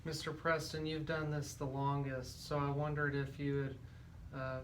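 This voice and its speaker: A man's voice: on the high side, level tone, normal speed, a bit bright, rough recording. A man hosting a radio talk show in a conversational interviewing style. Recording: faint background noise.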